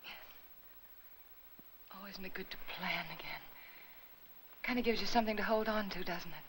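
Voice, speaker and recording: Woman acting a gentle, low-pitched, anxious 1950s housewife. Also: moderate pace, close to an everyday voice. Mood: wistful, hopeful. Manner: tender, placating, earnest. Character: devoted, anxious, gentle housewife soothing sick husband. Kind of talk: 1950s Hollywood film melodrama acting. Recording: noisy vintage 1950s film soundtrack.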